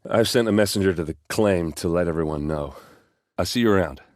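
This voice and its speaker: Man acting as a brash warrior captain, low-pitched, gruff, blunt, wry, loyal hard drinker, video game dialogue, dry close recording. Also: a little fast, no room sound, natural voice. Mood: grave, subdued. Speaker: game character voice actor.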